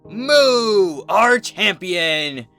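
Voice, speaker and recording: A man's voice: sits high, big pitch swings, very slow, gravelly, pushed and bright, smooth, soft background music, pitched above the performer's own voice, full character voice. A man voicing rowdy cartoon cattle of a cowboy herd, hooting and hollering in a storybook reading. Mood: jubilant.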